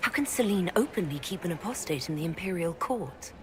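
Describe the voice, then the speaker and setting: A woman's voice: fairly low pitch, animated, brisk, fairly bright tone, slight background noise. An actress playing a wary female leader with a dry wit in a video game cutscene. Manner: guarded, dry.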